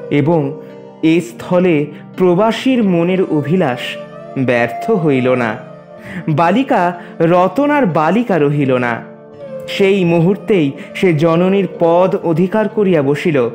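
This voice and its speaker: A man narrating a literary story in a measured, expressive, formal reading. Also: on the high side, soft background music.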